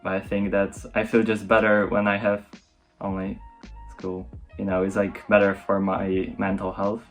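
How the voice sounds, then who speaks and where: Low-voiced Polish teenage guy hosting. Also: monotone, moderate pace, faint music behind. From Poland. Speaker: video host. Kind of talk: casual discussion to camera.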